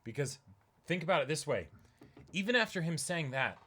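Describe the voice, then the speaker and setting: Animated man, excitable commentator. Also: on the high side, normal speed, breathy edge. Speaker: livestream reader and commentator. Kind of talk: casual dramatic manga reading with commentary.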